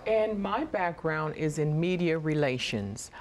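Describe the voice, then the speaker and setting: A woman in her forties from Trinidad and Tobago, a missing persons advocate, speaking calmly and in a measured way to camera on a studio stage. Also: sits low, a little hiss or hum. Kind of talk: direct-to-camera personal talk.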